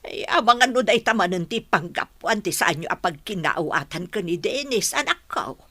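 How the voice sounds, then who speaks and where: A woman's voice: mid-range voice, lively intonation, moderate pace, pushed and bright, no room sound. A woman playing an anxious older mother and grandmother in a radio drama, fretting and lamenting.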